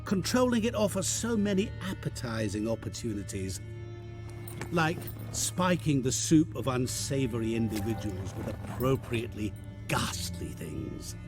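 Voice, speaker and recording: A sly male dealer in wishes who teases everyone in a silky, theatrical voice. His pitch swoops up and down as he drawls each line slowly, with music playing under the game scene. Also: very animated. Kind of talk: video game cutscene acting.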